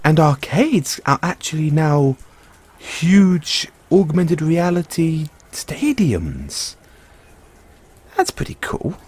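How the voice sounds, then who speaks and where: A man playing an excitable, affectionate mad scientist boyfriend in an intimate audio roleplay, musing haltingly to himself and his partner. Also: a little high, husky, heavily acted. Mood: fascinated, geeky. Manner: musing, halting, chuckling asides, drawn-out reactions.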